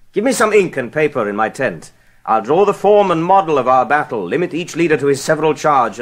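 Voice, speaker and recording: An actor playing a bold, hopeful young nobleman rallying his army, declaiming Shakespearean verse in measured phrases with a husky voice. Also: on the high side. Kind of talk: classical Shakespearean stage acting.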